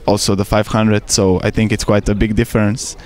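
A man's voice: lowish voice, flat delivery, fairly quick, a little mellow. A young man from Slovenia, speaking English as a second language, answering interview questions outdoors into a handheld mic with some fillers and restarts. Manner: careful non-native English with fillers and restarts. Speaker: canoe sprint athlete. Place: outdoors by a regatta course.

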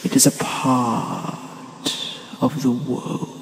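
A performer voicing a gentle, ancient dragon teacher in a hushed, breathy, deliberate ASMR fantasy roleplay, calm and solemn with long pauses. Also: mid-range voice. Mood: reverent, instructive.

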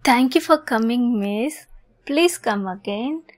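Sweet, slow-talking lovesick fox girl played by a woman. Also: on the high side, lively intonation. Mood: polite. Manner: sweet, giggly, coy, obsessive. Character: lovesick, possessive young kitsune fox girl. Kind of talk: ASMR roleplay acting.

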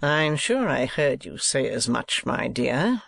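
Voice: medium pitch, measured pace, creaky. A performer voicing a cold, testy old uncle in a Victorian novel, growling and sneering curtly. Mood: coldly sarcastic.

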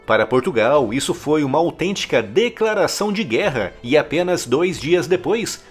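A man narrates a scripted history documentary with a husky, slightly raised voice. His delivery is emphatic and swings widely in pitch, with soft music faintly behind him.

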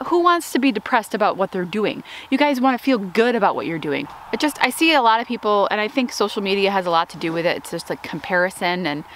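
A woman's voice: mid-range voice, fairly bright tone, slight background noise. A woman in her thirties, a flower farmer from upstate New York, chatting upbeat to viewers as she walks her field outdoors with a handheld camera. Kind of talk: casual farm tour vlog. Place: outdoors in open farm field.

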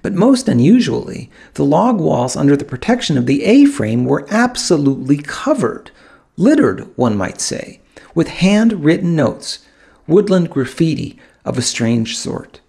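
A man narrating a first-person audiobook in an expressive storytelling manner, with dramatic pauses. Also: fairly high pitch, gravelly, breathy edge. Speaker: author narrating his own audiobook.

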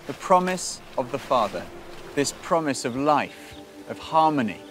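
Casting: British male presenter, thirties, earnest faith storytelling, measured phrases and pauses, music underneath. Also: medium pitch, big pitch swings, a bit bright. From Britain. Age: 30s.

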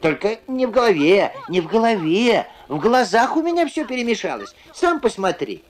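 A woman reading an expressive scripted voice-over for a children's cartoon, on an old film soundtrack. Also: medium pitch, normal speed. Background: clear background music.

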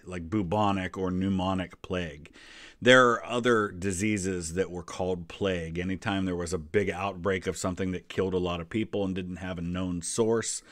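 A man in his forties with an American accent and a fairly low voice talks casually. He explains history in a conversational way, and the sound is dry and close. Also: fairly bright tone, dry recording. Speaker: history vlogger, host.

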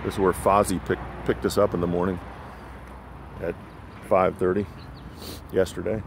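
A man giving casual, rambling, low-key vlog narration on a phone while out walking. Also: flat delivery, normal speed, gravelly. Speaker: vlogger. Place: outdoors on a sidewalk beside a busy road. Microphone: handheld phone. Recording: outdoor phone recording with traffic noise. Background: passing cars, street ambience.

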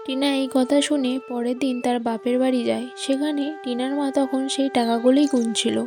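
Woman narrating a cartoon story, highish voice, scripted, music playing under her. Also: fairly even intonation, a little fast.

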